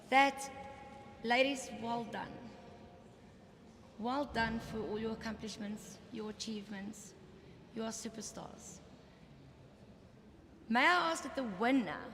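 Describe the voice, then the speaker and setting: A hoarse woman presenting awards in a formal, emphatic, measured speech at a dinner. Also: fairly high pitch, background noise. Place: indoor banquet hall. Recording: echoey hall with background chatter.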